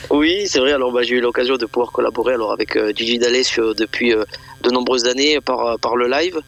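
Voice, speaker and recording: A man's voice: medium pitch, quick pace, vocal fry, a bit bright. A man from eastern France, a radio guest and event organizer, speaking hesitantly with many fillers and repeated words. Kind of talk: radio interview.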